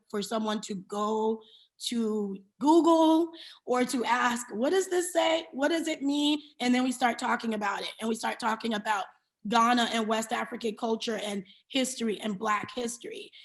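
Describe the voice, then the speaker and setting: A woman's voice: a little high, poor audio. An American woman from New York City giving reflective, candid interview answers, explaining and restating her points for emphasis. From New York City, USA. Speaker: interview guest, beauty brand founder and CEO.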